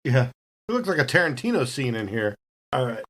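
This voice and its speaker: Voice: medium pitch, sing-song, fairly quick, a bit bright. A tabletop roleplaying player casually bantering and describing what their character does.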